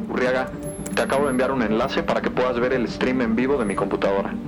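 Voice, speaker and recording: A man's voice: mid-range voice, fairly even intonation, fast, music in the background, close to an everyday voice. A man playing a cooperative, efficient police tech specialist in an audio drama. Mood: helpful, efficient. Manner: cooperative, businesslike. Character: helpful, efficient male police tech colleague.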